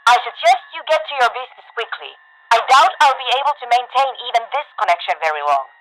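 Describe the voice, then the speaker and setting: A woman performs an earnest woman radio technician from a video game. Her voice sits high and speaks in exacting, formal phrases with clipped technical precision. She is serious and methodical. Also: lively intonation, fast, noisy background, lightly acted. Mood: urgent. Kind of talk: video game character dialogue.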